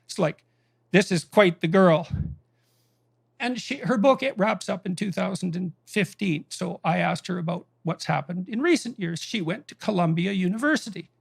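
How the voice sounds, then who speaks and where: A man with a high, gravelly voice recounts a conversation in emphatic, impassioned storytelling. His voice is dry and close, with no room sound around it. He presses his points hard and grows indignant. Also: expressive, moderate pace, no room sound.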